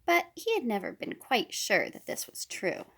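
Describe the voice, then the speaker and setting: A woman reading a children's picture book aloud as a storyteller, unhurried and with deliberate pauses. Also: fairly high pitch, wildly swooping pitch, vocal fry, slightly rough. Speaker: storybook reader.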